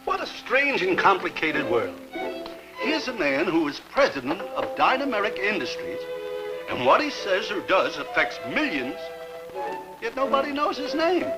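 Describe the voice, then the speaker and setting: A man's voice: sits high, huge pitch swings, moderate pace, music in the background, poor audio. An older actor playing a mild, polite grandfather who has been forced to retire, in a 1950s television drama. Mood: wondering. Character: gentle, wistful old grandfather.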